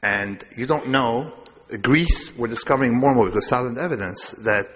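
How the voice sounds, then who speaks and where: A man's voice: medium pitch, moderate pace, fairly bright tone. A man from Lebanon giving a discursive public lecture, wandering between asides with a self-amused touch. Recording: long hall reverb.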